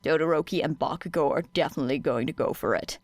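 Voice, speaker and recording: A woman voicing a grumpy, sardonic teenage boy student with deadpan, grumbling delivery in an audiobook. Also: low-pitched, quick pace, no echo, pitched below the performer's own voice. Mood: grim. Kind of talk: audiobook character dialogue.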